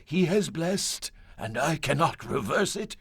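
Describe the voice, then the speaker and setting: An actor playing a solemn, fervent prophet, declaiming oracles with drawn-out emphasis in a radio-style Bible drama. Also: male voice, a little high, big pitch swings, vocal fry, husky, no room sound, exaggerated voice. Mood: fervent, proclaiming. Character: solemn male prophet proclaiming oracles with fervor.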